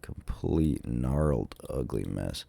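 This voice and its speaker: A sick man with a cold thinks aloud in a casual, hesitant, musing way, with many ums and trailing pauses, as he narrates a vlog. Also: rumbling low, animated, vocal fry, warm tone, slightly rough, no echo. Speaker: vlogger touring tornado damage on a map.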